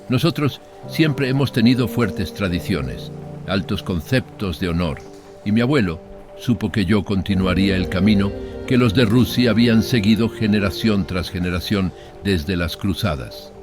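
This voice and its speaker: A man's voice: fairly low pitch, natural voice. A man voicing a proud, lonely old planter who recounts his family past in a wistful, dignified audiobook monologue. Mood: proud nostalgia.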